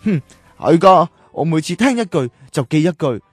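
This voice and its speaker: A man playing a gentle, poor cowherd boy who loves learning in a radio drama, telling his story earnestly. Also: a little high, very animated, normal speed, husky, dry recording, soft background music.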